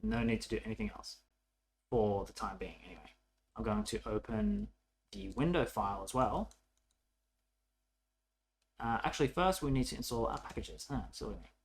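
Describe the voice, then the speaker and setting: A man's voice: medium pitch, very animated, moderate pace, gravelly, long pauses, slight background noise. A man narrating a casual coding tutorial as an instructor, informal and thinking aloud as he works.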